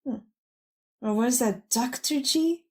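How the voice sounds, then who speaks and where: A woman's voice: fairly high pitch, takes it slowly, a little mellow. A woman playing a jaded, blunt cleaning lady turned news anchor in an audio drama, offhand and muttering. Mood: offhand, dismissive.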